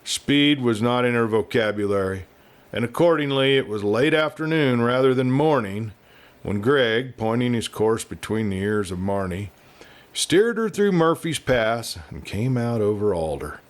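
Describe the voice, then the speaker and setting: A man narrating a scripted western story as an audiobook reader, with deliberate, expressive phrasing. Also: mid-range voice.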